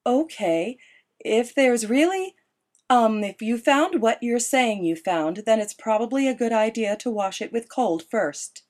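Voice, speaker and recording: A woman's voice: a little high, animated, unhurried, darkish tone, pitched above the performer's own voice. A woman reading as a sweet, motherly middle-aged woman with a honeyed, coaxing way of talking. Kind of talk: audiobook character dialogue.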